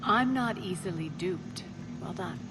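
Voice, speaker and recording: A woman's voice: on the low side, expressive, measured pace. An actress playing an imperious, cynical female ruler of a lawless space station in a video game, commanding and sardonic. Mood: sardonic, probing. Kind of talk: video game cutscene acting. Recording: loud music under the voice.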